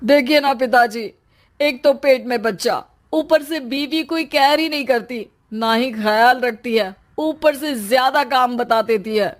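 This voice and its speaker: A woman acts out a pregnant husband in a comic cartoon. He is fearful and put-upon, complaining in a high voice at a slow, plaintive pace. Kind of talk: comic moral-story cartoon dialogue.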